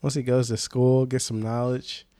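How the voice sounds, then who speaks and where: A man's voice: medium pitch, little pitch movement, normal speed, mellow, no echo. A man hosting a casual podcast, slangy and laid-back, sounding nasal from a cold. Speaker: podcast host.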